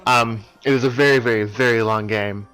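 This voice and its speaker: A man's voice: medium pitch, steady tone, a little fast, fairly bright tone, poor audio. A man giving casual, rambling let's play commentary in a hesitant, apologetic manner. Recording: game music behind the voice. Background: video game cutscene music.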